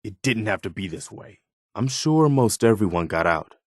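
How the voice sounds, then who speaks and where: A man voicing an earnest, steadfast militia officer in a video game, speaking in a sincere, measured, reassuring way. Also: lowish voice, animated, no room sound, clean studio sound. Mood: sober, reflective. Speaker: companion character in a video game.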